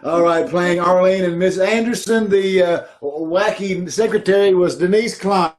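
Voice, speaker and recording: A man's voice: high-pitched, unhurried. A man with a slightly raised, easy speaking voice wraps up a live show over a video call from home. He talks loosely and chattily, laughing along as he goes. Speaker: show host introducing the cast. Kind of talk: casual live sign-off.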